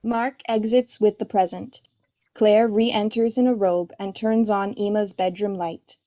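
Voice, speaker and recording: A woman's voice: medium pitch, fairly even intonation, unhurried, mellow. A woman giving scripted narration, reading the stage directions of a play.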